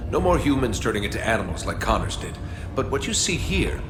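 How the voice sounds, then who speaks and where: An actor playing an authoritative, smooth-talking, measured male scientist who runs a company in a video game. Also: mid-range voice, big pitch swings, natural voice. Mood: controlled, grave. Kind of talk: naturalistic video game cutscene acting. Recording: echoey hall with loud machinery noise.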